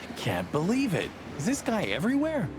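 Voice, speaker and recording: An actor playing a brash rooster cop sidekick in a noir video game, with casual, wisecracking delivery. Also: male voice, high voice, wildly swooping pitch, a little fast, background noise. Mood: exasperated.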